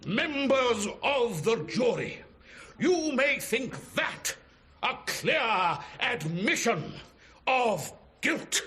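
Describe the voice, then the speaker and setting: An actor playing an earnest, pompous prosecuting barrister in a radio drama, with deliberate, theatrical phrasing. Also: male voice, very high voice, big pitch swings, sharp tone, exaggerated voice. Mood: portentous.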